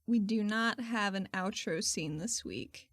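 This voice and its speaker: A woman's voice: medium pitch, unhurried, no room sound, studio quality. A woman chatting casually as a podcast co-host.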